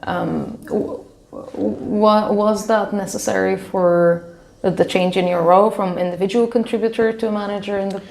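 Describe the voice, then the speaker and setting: Creaky-voiced woman interviewer in an echoey room. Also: medium pitch, fairly even intonation, normal speed, darkish tone. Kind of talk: casual interview questioning. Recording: roomy, echoing sound.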